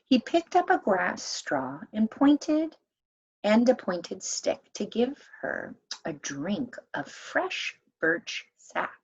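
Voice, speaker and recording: A woman reading a children's story aloud in an expressive, deliberate manner with dramatic emphasis. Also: mid-range voice, husky. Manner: animated, deliberate, dramatic emphasis for young listeners. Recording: dull, lo-fi sound.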